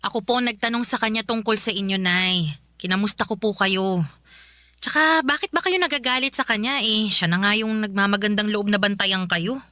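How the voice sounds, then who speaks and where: A woman's voice: medium pitch, moderate pace, bright, forceful tone, dry recording, studio quality, lightly acted. A woman playing a weary, hurt adult daughter who cares for her sick mother, pleading and exasperated in a Filipino radio drama. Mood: weary, defensive.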